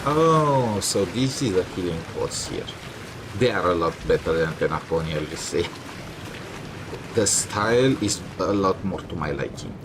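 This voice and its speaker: A man's voice: sits low, expressive, moderate pace, background noise. A man streaming a story game, giving casual commentary with wry, snarky asides.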